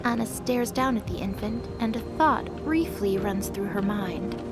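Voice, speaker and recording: A woman's voice: medium pitch, normal speed. A woman narrator reading a scripted mythological drama as story narration. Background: music.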